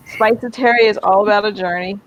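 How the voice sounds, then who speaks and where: A woman's voice: mid-range voice, steady tone, a little fast, poor audio. A woman hosting a casual live interview, chatty and enthusiastic, with lots of fillers. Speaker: host.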